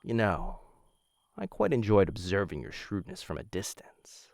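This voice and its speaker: Male actor as aristocratic killer and possessive suitor: deep, courtly, softly menacing, close and dry. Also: no echo. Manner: courtly, measured, softly menacing, addresses listener as my dear. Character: smooth, dangerous young noble assassin, possessive suitor. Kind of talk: boyfriend ASMR roleplay, intimate scripted acting.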